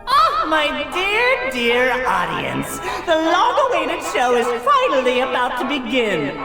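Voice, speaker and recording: A flamboyant jester of lies in a cartoon game. The voice is high and swoops wildly, drawing words out with singsong, mock-humble grandeur. Loud music plays behind. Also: female voice, huge pitch swings, slow, exaggerated voice. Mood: grandiose, gleeful. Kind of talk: grandiose scripted stage narration.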